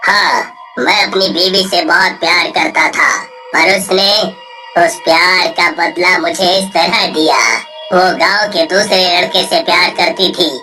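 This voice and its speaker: A woman voicing a slow, coaxing male ghost. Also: on the low side, fairly even intonation, poor audio. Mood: bitter. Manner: slow, coaxing, prods with questioning hums. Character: vengeful male ghost of a betrayed villager. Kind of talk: cartoon voice acting. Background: soft music.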